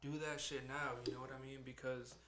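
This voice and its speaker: A man's voice: medium pitch, little pitch movement, fairly quick, sharp tone. Profane young man in an echoey room. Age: 20s. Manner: loose, profane, motivational. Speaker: podcast host. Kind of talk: casual podcast chat.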